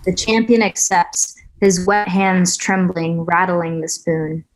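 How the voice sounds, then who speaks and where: A woman reading stage directions aloud at a script table read, even and matter-of-fact. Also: fairly low pitch, slow, soft background music, rough recording.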